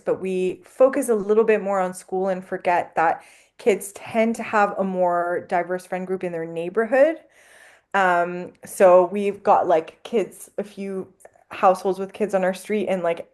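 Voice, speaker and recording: A woman's voice: mid-range voice, a little mellow. A woman whose first language is French, a guest on a podcast, talking casually with many fillers. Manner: chatty, lots of likes and ums. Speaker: anthropologist guest.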